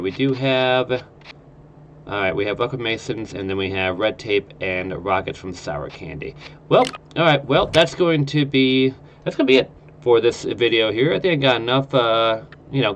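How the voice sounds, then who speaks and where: A man's voice: medium pitch, lo-fi sound, a little hiss or hum. Stuffed-up nasal male gamer. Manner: rambling, lots of uh fillers. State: stuffed up from a cold. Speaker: game streamer. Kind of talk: casual gameplay commentary.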